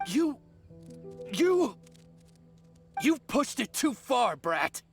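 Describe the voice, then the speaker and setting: A woman's voice: a little high, big pitch swings, extremely slow, vocal fry, soft background music, exaggerated voice. A woman playing a sly bandit who poses as a merchant in an anime game. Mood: menacing. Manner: falsely friendly, turning menacing.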